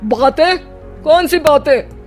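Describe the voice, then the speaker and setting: A performer voicing an older father-in-law in a cartoon story, a teasing husband with theatrical, drawn-out calls. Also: high-pitched, lively intonation, over loud music. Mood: puzzled.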